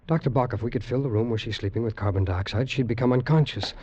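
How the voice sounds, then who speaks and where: An actor playing an earnest, anxious, deferential doctor's assistant in an old radio drama, speaking haltingly. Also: male voice, medium pitch, darkish tone, slight background noise, lightly acted. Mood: earnest, urgent. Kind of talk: 1940s-50s radio drama acting. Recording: muffled old radio recording.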